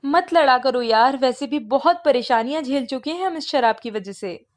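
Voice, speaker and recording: A woman voicing a weary, loving middle-aged mother in an animated story, tired and placating. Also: high voice, normal speed, voice pushed up. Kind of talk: animated story dialogue acting.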